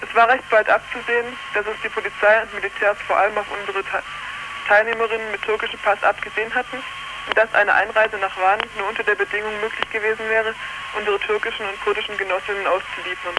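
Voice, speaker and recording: A woman's voice: medium pitch, little pitch movement, fast, background noise. A woman reading out a prepared statement as an activist over a poor long-distance telephone line, stumbling over words here and there. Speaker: activist delegation member.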